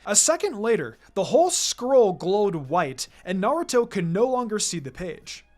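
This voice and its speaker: A narrator reading a fan fiction story aloud in an expressive, scripted storytelling style. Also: mid-range voice, normal speed. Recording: music behind the voice. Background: background music.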